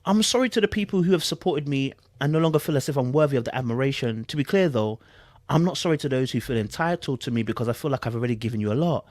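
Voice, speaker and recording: Podcast host playing wounded man, grave, lowered pitch, measured, melodramatic mock reading, dry sound. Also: darkish tone, dry recording, lightly acted. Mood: solemn, defensive. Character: solemn, wounded man reading his breakup statement.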